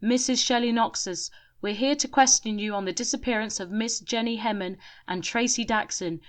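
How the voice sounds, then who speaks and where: A woman's voice: on the high side, moderate pace, pitched above the performer's own voice, close to an everyday voice. A blunt police officer who reads out charges in a curt, official tone, his voice a little raised, as a woman reads him. He stays clipped and matter-of-fact in this storybook telling.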